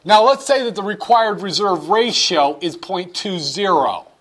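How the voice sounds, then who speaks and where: A man's voice: sits high, expressive, unhurried, fairly bright tone. A man giving an economics lecture in a playful way, acting out little dialogues between a banker and customers to explain his points. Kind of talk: classroom lecture.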